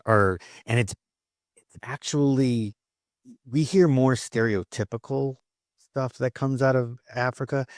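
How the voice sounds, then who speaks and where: A man's voice: fairly low pitch, no room sound, studio quality. A man hosting an interview podcast, introducing his guest and asking questions in an upbeat, emphatic way. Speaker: podcast host.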